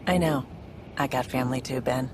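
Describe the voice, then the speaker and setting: A woman playing a brisk, confident, streetwise alien ship provisioner in a video game. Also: extremely low pitch, background noise. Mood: persuasive. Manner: brisk, matter-of-fact, streetwise. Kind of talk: video game cutscene acting.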